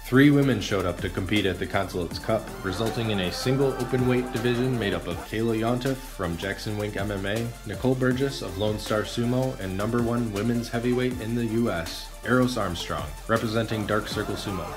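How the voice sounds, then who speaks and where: A man giving scripted narration of a sports event in an even, matter-of-fact way. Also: lowish voice, fairly quick, hardly any pauses. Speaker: video editor and narrator. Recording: voice-over with loud music beneath. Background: music.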